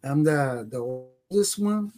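Podcast guest: male, 60s, Puerto Rican accent, native Spanish speaker, slightly high voice, slow halting delivery. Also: animated, dark tone, faint music behind. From Puerto Rico. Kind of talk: personal life-story interview.

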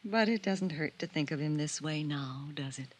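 An actress playing a frail, gentle little woman in a 1950s radio drama, speaking in a wistful, genteel manner. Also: sits low, normal speed. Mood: wistful, tender.

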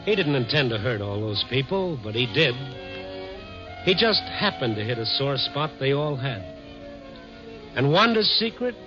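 A man plays a hard-boiled night-beat reporter in an old radio drama. He is measured and wry, with a mid-pitched voice, on a dull vintage recording with music. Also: natural voice. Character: steady, wry Chicago newspaper reporter and night narrator. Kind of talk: 1950s radio drama acting and narration.

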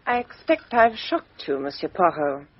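A woman's voice: low-pitched, big pitch swings, normal speed, slight background noise. An actress playing a bitter, defiant young English woman who speaks her mind coldly, in 1950s radio drama style. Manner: crisp, cold, outspoken. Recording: dull old radio recording.

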